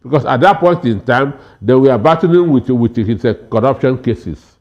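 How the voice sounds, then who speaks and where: A man with a Nigerian accent giving political commentary haltingly, with stammers and many hesitations. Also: mid-range voice, animated, lo-fi sound. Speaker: political analyst panel guest.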